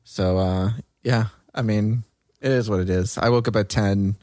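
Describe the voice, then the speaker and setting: Laid-back man with a lowish voice, chatting. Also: steady tone, measured pace, warm tone, no echo, clean studio sound. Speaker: podcast co-host. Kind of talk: casual chat on a podcast stream.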